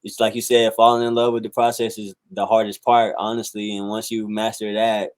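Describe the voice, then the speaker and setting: Laid-back low-voiced man in his twenties. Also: little pitch movement, brisk, no room sound. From South Carolina. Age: 20s. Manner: laid-back, many fillers like "um", "like" and "bro". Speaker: musician guest. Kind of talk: casual interview chat.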